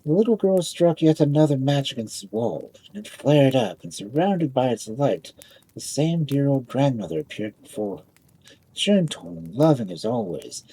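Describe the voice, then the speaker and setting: A man reading a fairy tale aloud as a storyteller, dramatic and expressive, drawing out phrases for effect. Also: mid-range voice, a little hiss or hum, rough recording.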